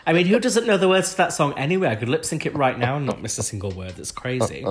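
A man co-hosts a podcast and sounds stuffed up from a cold. He talks through a TV episode in casual, opinionated chat, throwing in quick asides. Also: fairly high pitch, animated, background noise. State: bunged up with a cold. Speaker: podcast co-host.

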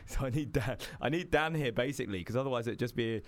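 A man's voice: medium pitch, fast, no room sound. A young man co-hosting a podcast in casual chat, easygoing and rambling, laughing often. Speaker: podcast co-host.

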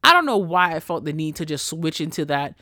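A man hosting a casual solo podcast, ranting in an animated, profane way that swings between loud bursts and quiet asides. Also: on the high side, fairly quick, no echo, clean studio sound. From Haitian family. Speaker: podcast host.

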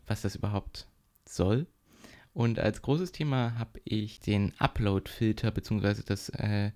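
A young man hosting a political podcast, explaining topics in a measured way with occasional fillers. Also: fairly low pitch, creaky, darkish tone. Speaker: podcast host. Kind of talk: casual political podcast chat.

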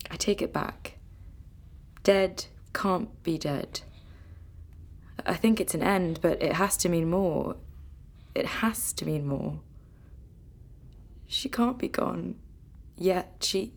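Grieving young relative, female, unhurried delivery, slightly low pitch, reflective, tender but firm, radio drama. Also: gravelly, exaggerated voice. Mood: grieving, pleading. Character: grieving adult granddaughter, tender, firm, clinging to belief. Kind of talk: naturalistic radio drama dialogue.

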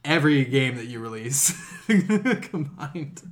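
A man talks casually as a podcast co-host, his pitch rising and dropping freely. He sounds sarcastic and incredulous, swears readily and laughs easily. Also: animated, takes it slowly. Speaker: video game podcast co-host. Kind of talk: casual chat with profanity.

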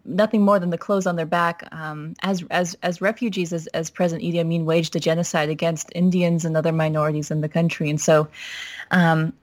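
A woman from Minnesota as a podcast guest, telling her family story in a reflective, steady way with frequent "you know" fillers. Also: fairly low pitch, dry recording. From Minnesota, United States. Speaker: pastor's wife and guest. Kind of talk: podcast interview, personal story.

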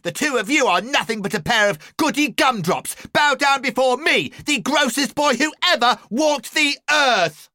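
Smug bossy boy, very high, grand, dry sound. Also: expressive, moderate pace, few pauses, bright, forceful tone, no echo, full character voice. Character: smug gross young boy, Harry.

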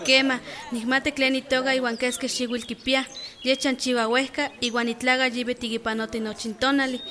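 A woman's voice: high voice, pushed and bright. An earnest village mother-to-be. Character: Esperanza, a pregnant village woman, caring. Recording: busy crowd noise behind.

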